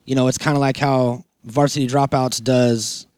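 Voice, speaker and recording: A man of medium pitch speaks with a soft, dark tone. He chats informally and slangily, as on a podcast, and the sound is dry with no room around it. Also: flat delivery, normal speed, smooth, no echo. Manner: informal, slangy, peppered with "you know". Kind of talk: casual podcast chat.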